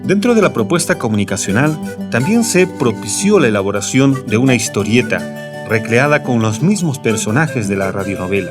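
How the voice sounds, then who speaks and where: A man reads scripted documentary narration in a formal register. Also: mid-range voice. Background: music.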